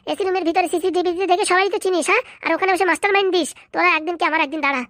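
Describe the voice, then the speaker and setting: A woman voicing an indignant, sharp-tongued young cartoon character, scolding and accusing. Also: very high-pitched, fairly even intonation, racing, no room sound, studio quality. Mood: angry, accusing. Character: indignant, sharp-tongued young relative exposing hospital fraud. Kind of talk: fast cartoon comedy dialogue.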